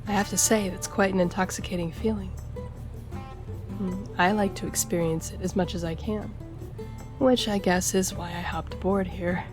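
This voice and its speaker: Casting: actress as roguish female drifter and gambler, lowish voice, teasing charm, intimate roleplay delivery over clear background music. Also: steady tone, normal speed, natural voice. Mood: warm, flirtatious. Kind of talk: intimate audio roleplay addressed to listener. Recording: music behind the voice.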